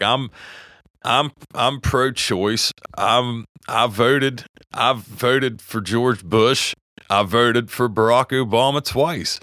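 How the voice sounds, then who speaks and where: A man's voice: mid-range voice, pushed and bright, no echo. A middle-aged man with a West Virginia accent, co-hosting a podcast in heated, profane casual talk, often stopping and restarting his sentences. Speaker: podcast co-host. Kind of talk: casual opinionated chat.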